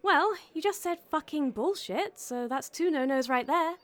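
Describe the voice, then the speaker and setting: A chirpy, officious manager in an audio comedy. Her high voice is very animated and recorded close and dry, prim yet chatty. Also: moderate pace, no echo.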